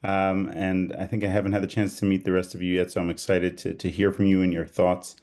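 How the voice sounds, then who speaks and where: A rabbi from Israel with a mostly American-sounding Israeli accent, giving an informal talk over a video call, pausing often with "um" and "uh". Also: male voice, sits low, completely flat delivery, darkish tone. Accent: Israeli, with an American tinge. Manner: hesitant, with many fillers and restarts. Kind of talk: informal talk to a small group.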